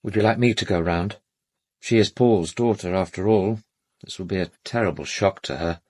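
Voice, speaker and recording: An actor playing a polite, defensive gentleman in a radio drama, guarded and formal in manner. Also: low-pitched, normal speed, husky, dry recording. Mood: polite concern. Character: smooth-mannered, defensive upper-class male family associate.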